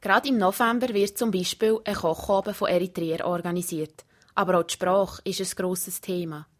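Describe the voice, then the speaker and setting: A woman's voice: on the low side, fairly even intonation, normal speed. Young Swiss German woman narrating a report. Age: young adult. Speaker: student reporter. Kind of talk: scripted radio report narration.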